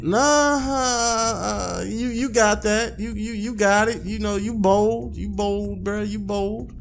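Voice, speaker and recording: A man's voice: sits high, steady tone, slow pace, creaky, soft background music. A man hosting a gaming video with casual let's-play commentary, slangy and jokey, often repeating himself. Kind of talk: casual let's-play commentary, reading a visual novel aloud.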